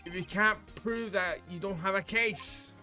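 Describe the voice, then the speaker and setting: A man's voice: sits high, measured pace, bright, forceful tone, faint music behind, pitched above the performer's own voice, heavily acted. A man voicing a smug male prosecutor in a courtroom video game, taunting and challenging his opponent.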